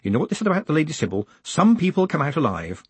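A man voicing a smooth-talking male swindler turned postmaster, speaking in a soothing, coaxing way. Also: a little high, very animated, rapid-fire, dry recording, voice pushed up, full character voice.

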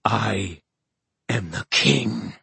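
A man's voice: fairly low pitch, extremely slow, gravelly, bright, forceful tone, breathy edge, dry recording, pitched above the performer's own voice, heavily acted. A man voicing a haughty, sneering, drunken fairy king in an audiobook. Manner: scornful, snapping.

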